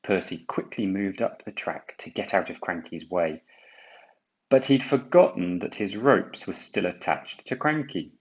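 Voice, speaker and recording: Low-voiced man reading a children's story slowly. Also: lively intonation, dull audio. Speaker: storybook reader. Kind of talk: expressive children's read-aloud narration.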